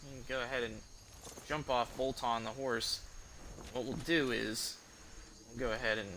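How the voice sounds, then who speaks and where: A man giving casual, halting video game commentary as he thinks aloud. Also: mid-range voice, fairly even intonation, bright, forceful tone, slight background noise.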